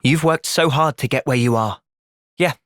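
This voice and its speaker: An actor playing an earnest, thoughtful young soldier in a video game, soft-spoken and sincere but resolute. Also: male voice, medium pitch, moderate pace, no room sound, clean studio sound, close to an everyday voice. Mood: calm, thoughtful. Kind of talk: video game cutscene acting.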